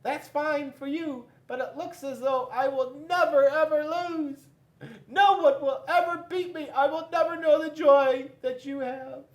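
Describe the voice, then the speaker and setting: A man voicing a timid paper warrior from a children's storybook in a squeaky falsetto, repeating words nervously. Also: fairly even intonation, a little slow, reverberant. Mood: gloomy.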